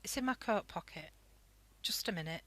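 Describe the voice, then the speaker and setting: An actress playing a worried, sharp-tongued wartime wife and mother in an amateur radio drama, anxious and guarded. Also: on the low side, lively intonation, normal speed, dry recording.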